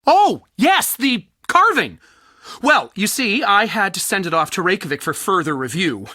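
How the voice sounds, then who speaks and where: A man's voice: sits high, sing-song, moderate pace, pushed and bright, dry recording, clean studio sound, full character voice. An actor playing a wry, self-pitying male researcher in a video game, speaking in a plaintive, theatrical manner. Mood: matter-of-fact. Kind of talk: video game character dialogue.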